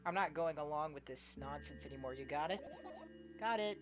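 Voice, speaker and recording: A woman's voice: low voice, fairly quick, close to an everyday voice. A woman voicing an anxious, defensive, easily scared schoolgirl in a dramatic visual novel reading. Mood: irritated, defensive. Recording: loud music behind the voice.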